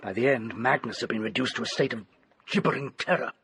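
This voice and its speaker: An actor playing a haunted, desperate gentleman doctor in a radio drama, with a British accent and an ornate, grimly theatrical delivery. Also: medium pitch, quick pace, slight background noise, close to an everyday voice. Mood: ominous storytelling. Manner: ornate, theatrical, dwells on grisly detail.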